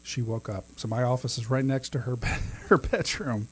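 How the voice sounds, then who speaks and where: Easygoing guy in his 30s hosting a podcast, laughs a lot, trips over his words, kinda husky. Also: mid-range voice, normal speed. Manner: laughs often, rambling with restarts. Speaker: podcast co-host. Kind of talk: casual chat.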